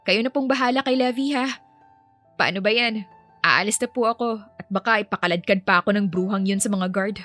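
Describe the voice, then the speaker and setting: A young housemaid nanny, acted by a woman, speaks earnestly in a middle pitch. She is proud, polite and tender, a romance audio story heroine with music behind her. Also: a little fast, sharp tone, lightly acted. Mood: bitter, resigned.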